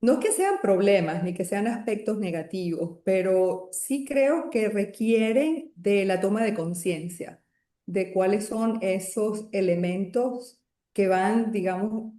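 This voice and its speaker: A Venezuelan woman speaking as a podcast guest, with a mellow, soft-edged voice. She talks in a reflective way and often restarts or pauses mid-sentence. The sound carries a noticeable echo from the room around her. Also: medium pitch. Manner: reflective, with frequent restarts and hesitations. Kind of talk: podcast interview.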